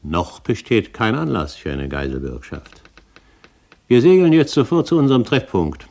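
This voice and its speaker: Older pirate captain, very low pitch, superior, measured, calculating, radio drama. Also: male voice, sing-song, creaky, mellow, heavily acted. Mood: commanding. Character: commanding, calculating older male pirate captain, a great-uncle. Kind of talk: German radio play acting.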